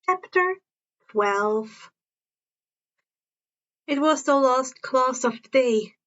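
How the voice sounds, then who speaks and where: High-voiced woman reading a story aloud. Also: fairly bright tone, lo-fi sound. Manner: stumbles and rereads words. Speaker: narrator reading fan fiction. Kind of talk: informal story narration.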